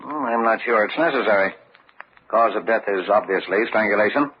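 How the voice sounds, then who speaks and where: Precise, low-voiced medical examiner. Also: male voice, slightly rough. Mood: clinical, pondering. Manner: clinical, deliberate, pondering. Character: precise, formal male medical examiner in a mystery. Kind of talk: vintage radio mystery acting. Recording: dull, hissy old radio recording.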